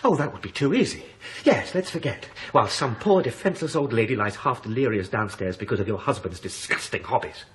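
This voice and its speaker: An actor playing an indignant, earnest British lover in a radio drama, speaking with emphatic theatrical intensity. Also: male voice, mid-range voice, animated, brisk, few pauses, slight background noise, lightly acted. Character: indignant, earnest man in love with a married woman.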